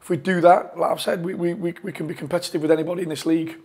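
A football manager in his forties giving a post-match interview from a press room, talking in a measured way with frequent restarts and fillers on a lapel mic. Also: a little high, level tone, gravelly, darkish tone, breathy edge. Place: press room in front of a sponsor board.

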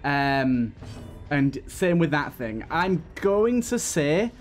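A man with a slightly high voice talks casually through a video game, reasoning out each tactic aloud as he goes. Music plays behind him. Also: sing-song, slow. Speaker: gaming channel host. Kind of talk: casual gameplay commentary.

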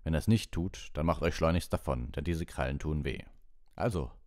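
A man voicing a kindly, gruff giant gamekeeper who teaches clumsily in simple, folksy words in a storybook reading. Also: very deep voice, normal speed, darkish tone, dry recording, studio quality. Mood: proud, instructing.

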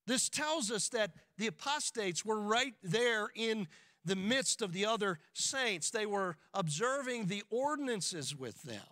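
Male pastor, 50s, high bright tone, measured deliberate pulpit delivery. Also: lively intonation, no echo, clean studio sound. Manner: emphatic preaching, slows to stress key words. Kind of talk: expository sermon. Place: church sanctuary at a pulpit. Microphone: pulpit microphone.

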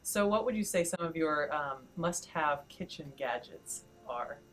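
Low-voiced upbeat woman interviewer. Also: lively intonation, measured pace, faint music behind. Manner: upbeat, affirming listener. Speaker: interviewer and blog host. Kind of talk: friendly interview. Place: indoor store event, seated before a branded backdrop.

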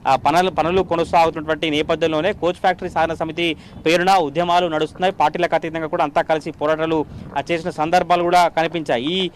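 Male field reporter, fairly high voice, rapid formal news delivery with filler sounds. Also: flat delivery, slight background noise. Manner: fast continuous delivery with frequent "aa" fillers.

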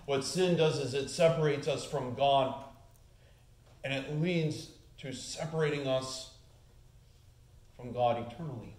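A man in his forties delivers an earnest sermon from a church lectern, his ordinary mid-range voice rising in pitch and volume as he presses his points. The sound comes from a camera set back in the sanctuary, so the room's echo surrounds every word. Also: a little slow, pauses often, darkish tone. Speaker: pastor. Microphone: far camera. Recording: echoey church room.

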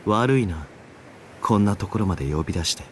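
A man's voice: low-pitched, lively intonation, brisk, faint music behind. A man voicing a flippant, cynical young assassin in a Japanese video game, with a slangy, teasing drawl. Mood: cool confessing.

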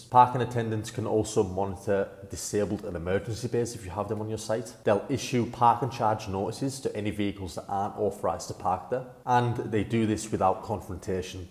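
A man's voice: on the low side, darkish tone. A young man presenting a business service in a plain, practical, informal way over slides. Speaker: company presenter. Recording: echoey room with long reverb.

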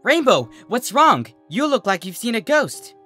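A narrator puts on a composed young cartoon pony girl. She is wry and slightly theatrical, with a bright tone, lively pitch and music behind. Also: unhurried. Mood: feigned shock.

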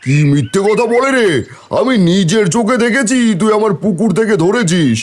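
Man playing a highish, animated old landlord. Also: brisk. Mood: angry, blustering. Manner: scolding, exclaiming, melodramatic. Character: grumpy, blustering old male village landlord. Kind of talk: cartoon voice acting.

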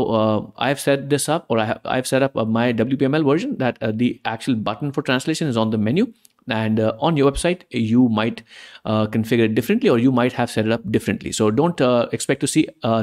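A young man whose first language is Hindi walks viewers through a screen-recorded software tutorial. He talks casually and explains as he goes, often pausing with fillers and restarting sentences, in a voice of ordinary pitch.

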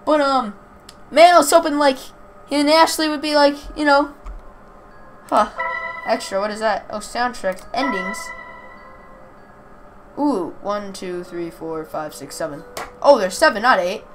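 A woman's voice: fairly high pitch, a little slow, long pauses, soft background music, low-quality recording. A woman reading a story aloud with casual asides, stumbling over words and correcting herself. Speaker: reader of a visual novel playthrough. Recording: clear background noise.

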